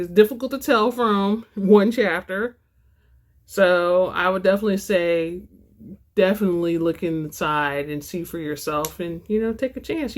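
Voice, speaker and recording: A woman hosting a book channel, moving between casual chat to viewers and dramatic reading aloud. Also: medium pitch.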